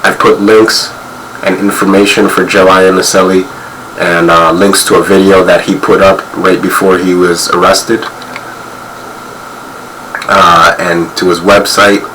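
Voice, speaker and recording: Hoarse, quiet-voiced man delivering angry commentary; very low monotone, heard from off the mic. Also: unhurried, distant mic, noisy background. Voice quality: quiet, strained. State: hoarse, losing his voice. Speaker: video commentator.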